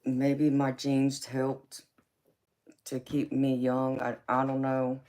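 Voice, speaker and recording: A woman in her forties talks with her live-stream audience in a relaxed, conversational way. Her delivery stays level and even, with little rise or fall. She fills her talk with endearments like honey and sweetheart. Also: extremely low pitch, slow pace. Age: 40s. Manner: affectionate, calls viewers baby, honey and sweetheart. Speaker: tarot reader and livestream host. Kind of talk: casual live-stream chat with viewers.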